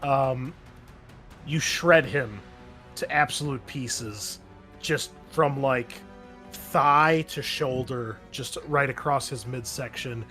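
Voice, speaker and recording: Male game master, mid-pitched, casual vivid combat narration, faint music underneath. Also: takes it slowly, pushed and bright.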